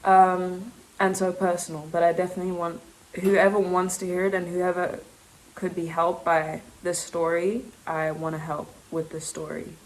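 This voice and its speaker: A young woman with a South African accent that leans British, talking fast and rambling in a casual, confessional vlog, breaking off with laughs. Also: on the low side, level tone, a little hiss or hum, poor audio. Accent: South African English with a British touch. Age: young adult. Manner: fast, rambling, self-interrupting, laughs often. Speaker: vlogger. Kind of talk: casual confessional chat to camera.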